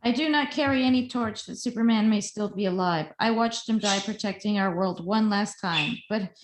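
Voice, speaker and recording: A woman's voice: medium pitch, normal speed, close to an everyday voice. A woman playing an earnest, defiant reporter addressing the public in an amateur table read. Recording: roomy, noisy home recording.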